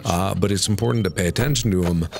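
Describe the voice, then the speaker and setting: A man with a raspy voice from being sick, casually explaining his game strategy to viewers. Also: low voice, fast, background noise. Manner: talkative, explains step by step with fillers. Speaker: gaming video creator. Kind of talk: casual gameplay commentary. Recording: music playing behind the voice.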